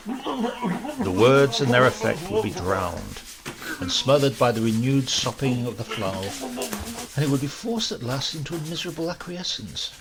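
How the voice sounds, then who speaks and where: A man with a British accent reading a comic literary story as narrator, in a measured, dramatic way. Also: mid-range voice, vocal fry, fairly bright tone, slightly rough. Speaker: audiobook reader.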